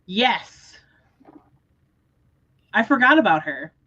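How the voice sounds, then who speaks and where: A woman hosting a casual book discussion livestream, talking in an animated, expressive way. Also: mid-range voice, slow, husky, highs cut off. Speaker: host of book readalong livestream.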